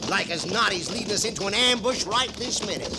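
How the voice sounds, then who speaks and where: A sneering American cavalry trooper needles in a high-pitched frontier drawl. The delivery is goading and bitter, in 1950s Western radio style. Heavy noise covers the old recording. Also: male voice, expressive, a little fast. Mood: bitter, menacing. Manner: taunting, goading.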